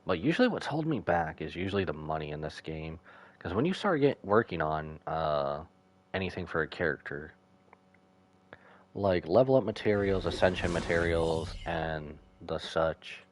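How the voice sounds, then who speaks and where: A man's voice: sits low, animated, moderate pace, a little hiss or hum. A man giving casual, wry commentary while playing through a video game. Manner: wry, offhand asides. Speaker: gaming video host. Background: game music.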